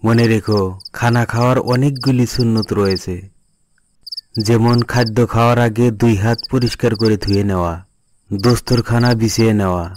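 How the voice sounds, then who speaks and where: Man acting as pious cartoon father, patient, instructive, medium pitch, noisy recording with clicks. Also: lightly acted. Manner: instructive, admonishing. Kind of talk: Islamic moral cartoon dialogue.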